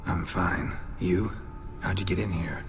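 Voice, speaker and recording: A man with an American accent acting a stoic, world-weary monster hunter in a video game, with a gravelly, terse, deadpan delivery. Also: low-pitched, unhurried. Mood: brooding, matter-of-fact. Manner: terse, deadpan, clipped sentences. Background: music.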